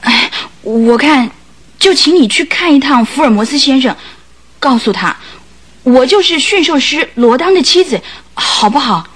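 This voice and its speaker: An actress in a radio drama playing a troubled, secretive wife of a lion tamer, plaintive and full of sighs. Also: female voice, sits high, lively intonation, a little slow, a bit bright, exaggerated voice. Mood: pleading, secretive. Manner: plaintive, sighing.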